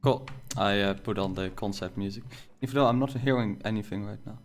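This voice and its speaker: A man's voice: on the low side, brisk. A young man with a thick Dutch accent, chatting casually and jokingly with viewers while playing a game, often laughing. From Netherlands. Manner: rambling and jokey, laughs often. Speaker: streamer. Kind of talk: casual chat while gaming.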